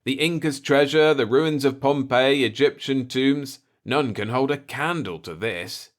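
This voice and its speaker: A man's voice: mid-range voice, unhurried, voice pushed up, lightly acted. A man voicing an eager, brash human explorer, emphatic and argumentative, in a science fiction story. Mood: insistent.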